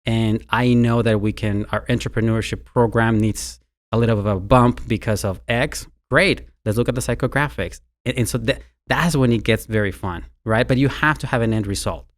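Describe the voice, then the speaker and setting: A man's voice: medium pitch, normal speed. A man from Mexico with a Mexican Spanish accent, a university marketing executive talking as a guest in a conversational interview, who often repeats words as he starts a sentence.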